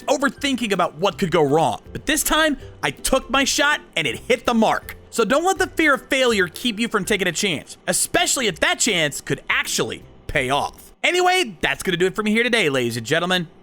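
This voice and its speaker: Animated high-pitched man, bright and earnest. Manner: earnest, emphatic, encouraging. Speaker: video host and aspiring voice actor. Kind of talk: scripted commentary to camera or microphone. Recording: music behind the voice. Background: background music.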